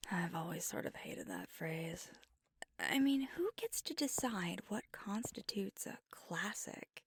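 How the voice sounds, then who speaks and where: A woman's voice: fairly low pitch, no room sound, exaggerated voice. A woman playing a whispery bookish vampire. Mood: musing. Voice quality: soft whispery, breathy. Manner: thoughtful, gently teasing. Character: flirty bookish female vampire on a date. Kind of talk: ASMR roleplay. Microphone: close mic. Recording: dry close-mic ASMR.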